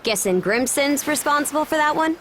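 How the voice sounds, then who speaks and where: Young princess captain, slightly high voice, resolute, casual, video game, faint background noise. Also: female voice, animated, a little fast, a bit bright. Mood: wry.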